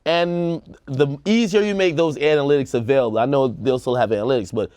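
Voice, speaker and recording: A man's voice: medium pitch, a little fast, a little mellow, clean tone, no echo. A man co-hosting a casual panel talk, giving emphatic opinions with plenty of slang and swearing. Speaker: show co-host giving opinions.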